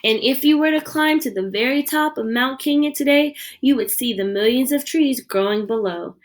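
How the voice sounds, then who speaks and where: Higher-pitched woman reading a picture book. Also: moderate pace, slight background noise. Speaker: read-aloud reader. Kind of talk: expressive picture-book read-aloud.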